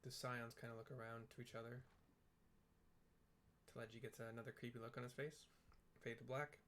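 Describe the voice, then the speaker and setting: A man in a let's play narrating what happens on screen. His voice is mid-pitched and easygoing, and he talks in a loose, unscripted way, often stopping to correct a word. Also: a little fast, a little hiss or hum. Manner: relaxed, describing the scene, self-correcting. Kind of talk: casual commentary on a game.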